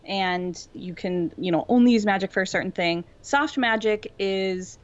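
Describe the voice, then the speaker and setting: A woman's voice: mid-range voice, moderate pace. A woman explaining things informally in a casual fan discussion, often saying "like" as she goes. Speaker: podcast co-host. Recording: dull lo-fi audio.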